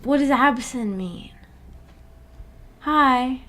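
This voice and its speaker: Woman streamer, slightly high voice. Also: extremely slow, fairly bright tone. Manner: giggly, hesitant, repeats words. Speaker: streamer hosting a book club discussion. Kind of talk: casual livestream chat, reading and answering chat.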